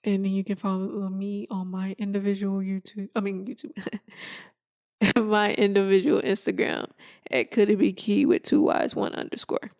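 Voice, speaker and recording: A woman's voice: mid-range voice, little pitch movement, darkish tone. Woman, 20s, from Texas, casual podcast co-host, laid-back chatter. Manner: laid-back, laughs often, drawn-out fillers. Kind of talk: casual chat.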